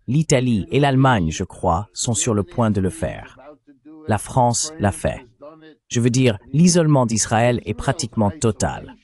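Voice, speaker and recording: A man's synthetic dubbing voice reading political commentary in a measured, articulate way. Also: on the low side, darkish tone. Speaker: voice-over translator for a panelist.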